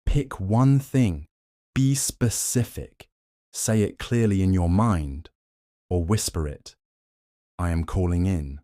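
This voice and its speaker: Male sleep meditation guide: very deep, unhurried, soothing and gently instructive. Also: no room sound, studio quality. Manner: soothing, gently instructive, short measured phrases.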